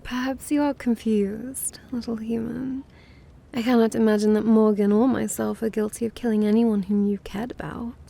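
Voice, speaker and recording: A woman's voice: on the high side, little pitch movement, normal speed, vocal fry, mellow. A woman voicing a naive, prim and haughty vampire mistress in an ASMR roleplay, with dainty, formal, half-whispered speech. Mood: dismissive.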